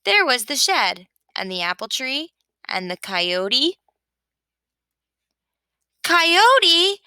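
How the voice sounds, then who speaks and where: A woman reading a children's picture book aloud with expressive narration. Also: medium pitch, takes it slowly, smooth, dry recording, studio quality. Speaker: storybook reader.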